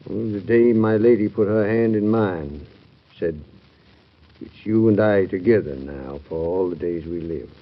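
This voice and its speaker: An actor playing a frail, stubborn old retiring president, gruff and fond, halting and folksy in a vintage radio drama. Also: male voice, deep voice. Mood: wistful. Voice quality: gravelly, husky. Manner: halting, folksy, swinging from loud to hushed. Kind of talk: 1940s radio drama acting. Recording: dull old radio recording.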